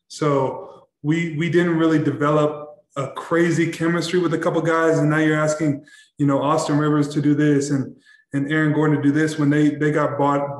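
Young athlete at a presser, slightly high voice, flat delivery, stumbles and repeats himself, echoey room. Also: male voice. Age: young adult. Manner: halting, repeats words, many fillers. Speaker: basketball player. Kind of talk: postgame press conference answers. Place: press room in front of a backdrop.

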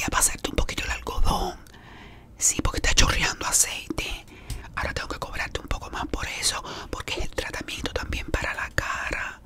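A woman's voice: fairly high pitch, vocal fry. A woman whispering in an ASMR roleplay as a beautician, gossiping while she treats a client. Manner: gossipy whispering, talking to an unseen caller and a client.

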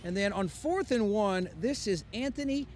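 High-voiced upbeat sportscaster man. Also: animated, a crowd in the background. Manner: brisk, upbeat play-by-play delivery. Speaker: sportscaster host. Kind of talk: post-game sports commentary. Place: outdoors on a football field at night. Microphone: handheld mic.

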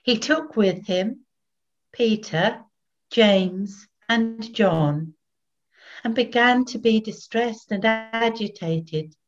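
An older woman narrating scripture from a script in a measured way, pausing between phrases, recorded at home on a webcam. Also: a little high, highs cut off, faint music behind. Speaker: narrator, Evangelist reader. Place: indoors in a home room.

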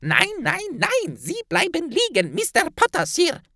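A man's voice: very high voice, wildly swooping pitch, measured pace, sharp tone, no room sound, clean studio sound, voice pushed up, exaggerated voice. A man voicing a tiny house-elf who is fussy, strict and insistent yet deferential, in an audiobook story.

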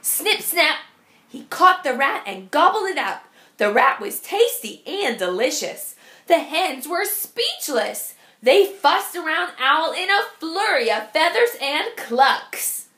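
A woman reading a picture book aloud to children as a teacher, expressive and emphatic. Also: high-pitched, slow pace.

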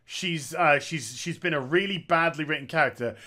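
Man, thirties, bright tense voice, a bit high, sarcastic game commentary, headset mic. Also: moderate pace. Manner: sarcastic, opinionated, jokey asides. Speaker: gaming commentator. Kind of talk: casual opinion commentary. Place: small room at home.

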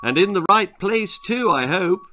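A hospital doctor, acted out by a male storyteller, speaks with forced jollity and raised, lively pitch. He is evasive and over-cheerful, in the style of a children's storybook. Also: unhurried, slight background noise, full character voice. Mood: falsely jolly.

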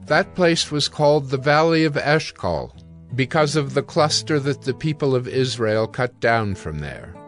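A man narrating a dramatized audio Bible in a measured, solemn storytelling manner. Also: mid-range voice, big pitch swings, faint music behind.

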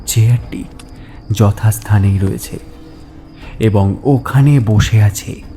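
A man narrating a horror story in the first person, suspenseful and dramatic, with halting pauses. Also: fairly low pitch, warm tone, faint music behind.

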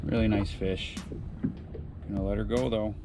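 A man's voice: fairly low pitch, fairly even intonation, moderate pace. A young man on a fishing boat chatting casually to viewers as he reels in fish, picked up by a camera on the boat. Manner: laid-back, talks to viewers while reeling in fish. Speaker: fishing vlogger. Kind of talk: casual on-water commentary. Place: small fishing boat on open water, outdoors. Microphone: action camera mounted on the boat. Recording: outdoor boat camera with clear wind and water noise. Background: wind and water around the boat.

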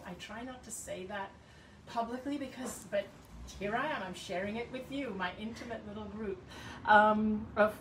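Self-help vlog: older woman, earnest and warm, recorded outdoors on a phone a few feet away, with the hiss of the yard behind her. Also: medium pitch. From Hong Kong. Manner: warm, earnest, gestures a lot with her hands. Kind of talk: casual talk to camera. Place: sunny backyard garden outdoors. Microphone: phone camera at a few feet. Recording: distant outdoor mic with ambient noise.

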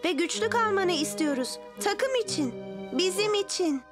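A caring young girl owl hero, reassuring toward her friends. The voice is very high. Music plays behind. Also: expressive, moderate pace. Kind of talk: cartoon dubbing.

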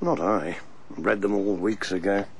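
An actor playing an arch, pompous, scheming English political insider in a radio drama. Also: male voice, lowish voice, fairly even intonation, a little slow, slight background noise. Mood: arch, sly. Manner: pompous, sly, theatrical.

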